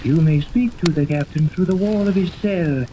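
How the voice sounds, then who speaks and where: A man's voice: a little high, moderate pace, natural voice. A noisy 1930s radio recording with rain ambience carries an actor as a haughty male mad scientist. He rules imperiously, speaking in pompous, wordy pronouncements in old serial style. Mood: commanding. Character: haughty, tyrannical male mad scientist commanding a secret island.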